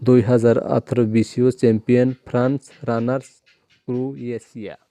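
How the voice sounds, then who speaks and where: A man with a Kokborok accent hosts his channel, reading a long list in steady turns. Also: mid-range voice, quick pace, no echo. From Tripura, India. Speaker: channel host. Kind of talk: reading a list in a home video.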